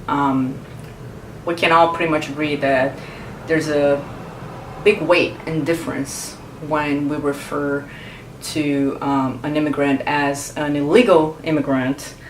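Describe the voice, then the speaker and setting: A woman, a Portuguese speaker, explaining her views to camera in a thoughtful, earnest way and pausing to search for words. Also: low voice, far from the mic, noisy background. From Brazil. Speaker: vlogger. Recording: roomy echo, mic set back.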